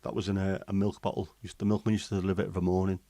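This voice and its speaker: Bloke chatting on a stream, umms a lot, low-ish voice, very dry mic. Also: male voice, little pitch movement, rapid-fire, mellow, no room sound. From England. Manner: chatty and rambling, with many ums and restarts. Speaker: livestream host. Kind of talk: casual chat, reading and answering live comments.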